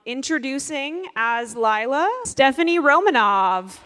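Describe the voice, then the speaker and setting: A woman's voice: high voice, expressive, unhurried, background noise. A woman moderating a live fan convention panel, introducing the guests in an upbeat, announcer-like way.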